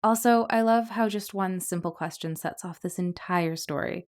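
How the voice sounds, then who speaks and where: A woman's voice: on the low side, normal speed, a little mellow. A woman podcast host reading scripted narration between stories.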